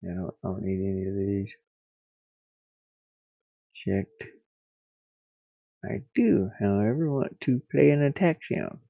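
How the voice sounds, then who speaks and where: A man thinking aloud on a casual livestream as he works, muttering in a halting, absent-minded way. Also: fairly low pitch, animated, creaky. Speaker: livestreamer, hobby game developer. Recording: boxy echo, thin phone-like sound.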